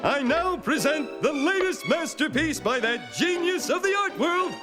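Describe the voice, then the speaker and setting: An actor playing a snobbish, excitable art gallery owner in a cartoon, with a pompous, theatrical delivery. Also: male voice, very high-pitched, unhurried, music in the background, heavily acted. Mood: grand, gushing.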